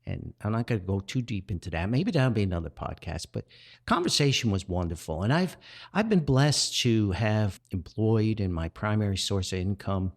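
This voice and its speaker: A man's voice: fairly low pitch, expressive, moderate pace. An older man hosting a podcast, reflecting in a rambling, earnest monologue, often repeating words and backtracking as he goes. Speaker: podcast host and author.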